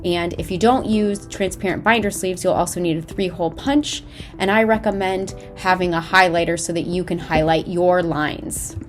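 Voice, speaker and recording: A woman narrating a scripted lesson as a drama instructor, measured, explanatory and carefully enunciated. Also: lowish voice, steady tone. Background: background music.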